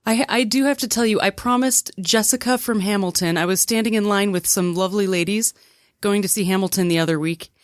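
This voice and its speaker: A woman co-hosting a podcast, chatting in an upbeat way and telling a quick story. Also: mid-range voice, dry recording, clean studio sound. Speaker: podcast co-host. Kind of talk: casual chat.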